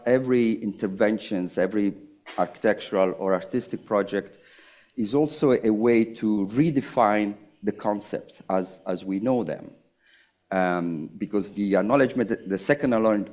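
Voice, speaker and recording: Panel speaker: middle-aged man, slightly low pitch, halting and thoughtful, lots of pauses. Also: darkish tone. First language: Italian. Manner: halting, hesitant, with many pauses and restarts. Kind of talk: reflective public conversation.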